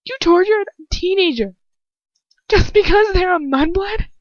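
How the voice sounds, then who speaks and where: A stubborn young witch fires back with accusing questions, as a woman reads her part. The delivery is slow and unhurried, and the sound is dull and lo-fi. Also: very high voice, lively intonation, dry recording, voice pushed up. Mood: defiant. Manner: defiant, accusing.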